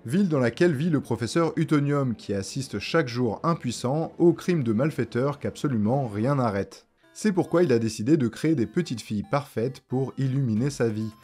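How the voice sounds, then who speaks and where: A man's voice: mid-range voice, expressive, normal speed, hardly any pauses, darkish tone, breathy edge. A man presenting a video essay in scripted narration, with casual slang and joking asides. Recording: music behind the voice. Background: background music.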